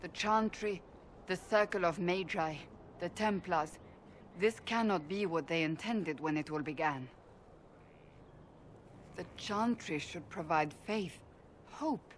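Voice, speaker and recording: A woman playing a stern, devout warrior in a fantasy game, speaking formally and with measured, blunt earnestness. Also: on the low side, slight background noise. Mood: earnest, troubled. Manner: formal, measured, deliberate phrasing. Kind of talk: dramatic video game dialogue.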